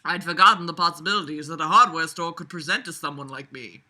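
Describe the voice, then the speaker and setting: A woman's voice: sits low, a little fast, bright, forceful tone. A woman reading a novel aloud and breaking off for casual, mocking, exasperated commentary full of swearing. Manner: sarcastic, exasperated, foul-mouthed.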